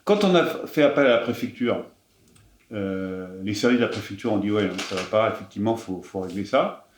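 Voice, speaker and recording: Lower-voiced politician in an echoey room. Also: male voice, slight background noise. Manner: measured, full of fillers, animated when indignant. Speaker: member of parliament. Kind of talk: explaining a case to camera.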